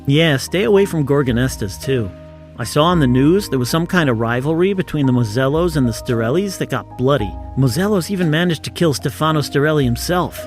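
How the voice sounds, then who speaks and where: A man's voice: on the high side, lively intonation, fairly quick, faint music behind, lightly acted. A man playing a detective's concerned, chatty assistant in an audio drama, conversational and inquisitive. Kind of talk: scripted audio drama dialogue.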